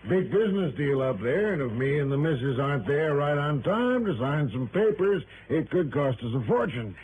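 A man's voice: on the high side, sing-song, normal speed, phone-quality sound. An actor playing a boastful, folksy crook posing as a businessman, in colloquial old radio drama style. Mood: breezy, boastful. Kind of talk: 1950s-60s American radio drama acting. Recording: slightly noisy vintage radio recording.

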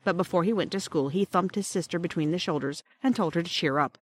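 A woman's voice: on the low side, quick pace, slightly rough, no room sound. A woman reading a children's story aloud as scripted audiobook narration.

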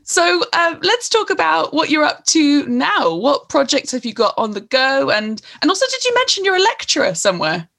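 A woman's voice: sits high, sing-song, normal speed, gravelly, few pauses. A young woman from England hosting a casual online interview, friendly and encouraging. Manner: friendly, encouraging, often laughing softly. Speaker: host.

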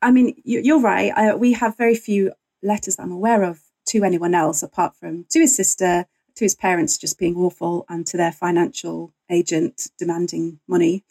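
Creaky-voiced woman telling history. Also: fairly low pitch, steady tone, normal speed, hardly any pauses, darkish tone, rough recording. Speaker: guest author and historian. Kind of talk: conversational interview, telling history.

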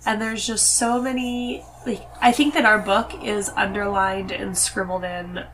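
A woman speaking casually as a guest in a relaxed podcast conversation. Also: medium pitch, measured pace, slight background noise, low-quality recording. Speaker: podcast guest, host's wife. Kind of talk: casual chat.

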